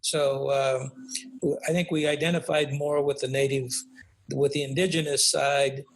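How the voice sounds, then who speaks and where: A man's voice: medium pitch, flat delivery, measured pace, rough recording. An older man being interviewed as a guest, speaking reflectively with many fillers and tag questions. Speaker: guest, author. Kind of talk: conversational interview. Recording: faint background noise.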